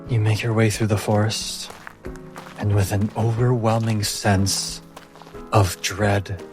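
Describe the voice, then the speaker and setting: Slow, low-voiced man narrating fantasy. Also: little pitch movement. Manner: deliberate, suspenseful phrasing with dramatic pauses. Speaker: game master. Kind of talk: dramatic scripted-sounding narration of a tabletop fantasy game. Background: music.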